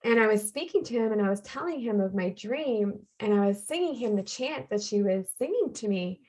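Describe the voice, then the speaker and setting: A woman speaks as a podcast guest, recounting her life in a heartfelt, earnest way. She leans on repeated phrases to drive her points home, in a voice of middling pitch. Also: lively intonation, a little fast, a little mellow, rough recording. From Canada. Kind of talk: personal storytelling in a conversation.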